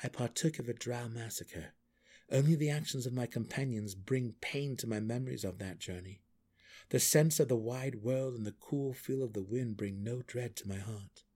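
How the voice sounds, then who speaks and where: A man voicing a resolute, brooding male dark elf exile in a fantasy audiobook, speaking earnestly and formally with defiance. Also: mid-range voice, moderate pace, close to an everyday voice. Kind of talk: fantasy audiobook character acting.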